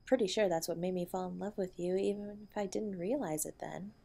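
A woman's voice: mid-range voice, steady tone, fairly quick, creaky, mellow. A woman playing a tender, protective wife in an intimate audio roleplay, soothing and affectionate as she comforts her husband. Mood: gentle reassurance.

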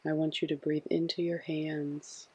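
Slow, husky man guiding sleep meditation. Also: on the high side, little pitch movement, rough recording. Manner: soothing, hushed, gentle instruction. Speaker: meditation guide.

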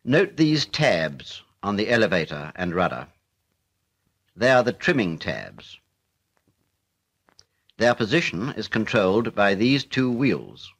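A British man narrating a 1940s military instructional film in a formal, measured, matter-of-fact way. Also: on the low side, big pitch swings, a bit bright, slightly rough.

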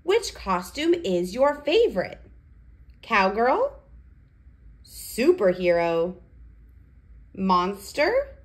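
A woman hosting a read-aloud for toddlers, speaking in a deliberate, sing-song way with simple phrasing. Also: medium pitch, huge pitch swings. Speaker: children's read-aloud host.

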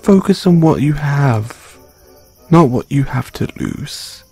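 A man performing an intimate comfort roleplay as a gentle boyfriend consoling his grieving partner, speaking in a soothing, tender, hushed way. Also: fairly high pitch, expressive, slow pace, vocal fry, breathy edge, faint music behind.

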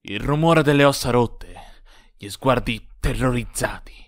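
An actor playing a self-important, brooding former school bully in jail, speaking theatrically with dramatic pauses in a comedy sketch. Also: male voice, mid-range voice, close to an everyday voice. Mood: brooding, boastful. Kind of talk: mock-dramatic confession to an interviewer.

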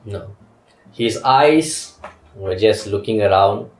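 A man's voice: fairly low pitch, slow, roomy sound, rough recording. A young Singaporean man hosting a casual chat in a small studio, steering the talk and asking others for their views. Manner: leads the talk, asks for reactions. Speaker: podcast host. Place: small indoor studio with couches.

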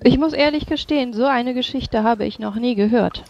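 A tabletop roleplayer speaking formally and in a measured way, switching between in-character speech and narration. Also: mid-range voice, vocal fry, darkish tone, dull audio. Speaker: player or game master voicing characters in a tabletop game.

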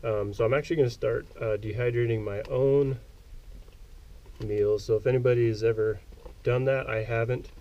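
A man's voice: medium pitch, fairly even intonation, moderate pace, dark tone, highs cut off. An American man in his thirties talking casually and easygoingly to camera, explaining what he is doing as he works, with some hesitation. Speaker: outdoor vlogger, presenter. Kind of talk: casual explanatory talk to camera while working. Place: outdoors in a small desert rock cave. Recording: outdoor camera audio with faint background noise. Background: faint outdoor ambience.

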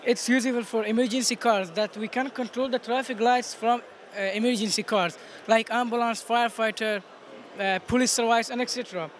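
Very high-pitched young Afghan man, halting English. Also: steady tone. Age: teens. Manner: halting non-native English. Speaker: student competition participant. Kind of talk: explaining a project in an interview. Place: busy exhibition booth indoors. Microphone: handheld interviewer microphone. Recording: noisy event hall background.

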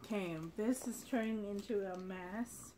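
A woman's voice: mid-range voice, steady tone, measured pace, noisy background. A middle-aged woman hosting a casual home video, chatting through a snack tasting and reading packet labels aloud in a puzzled way. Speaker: channel host. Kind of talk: casual food tasting chat. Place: living room at home, indoors. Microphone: camera on a tripod across the table. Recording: music playing in the background.

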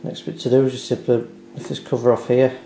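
A man's voice: medium pitch, little pitch movement, fast, vocal fry, warm tone. A man narrating a hands-on repair tutorial step by step, explaining carefully and without hurry. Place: indoors at a dining table in a home. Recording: roomy echo, background hiss, handling clicks.

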